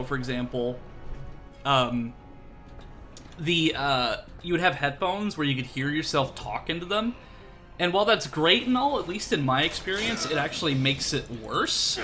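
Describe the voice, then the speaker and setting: A man's voice: fairly high pitch, lively intonation, normal speed, pushed and bright, soft background music. A man streaming a co-op shooter, chatting casually with a friend, teasing and laughing often, with a somewhat nasal voice. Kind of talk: casual co-op gameplay chat.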